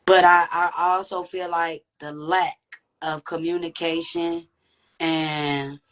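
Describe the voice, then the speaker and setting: A woman with a deep, raspy voice, speaking candidly and reflectively as a podcast guest. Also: little pitch movement, slow, fairly bright tone. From Texas. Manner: reflective, earnest, with frequent "you know what I'm saying". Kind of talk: candid conversational interview.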